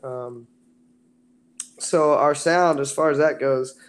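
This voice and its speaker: A raspy-voiced man from a band talks loosely about his music. He rambles, circling back with fillers, in an easygoing interview tone. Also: fairly high pitch, slow pace. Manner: relaxed, rambling with many fillers. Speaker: band singer. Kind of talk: casual interview chat.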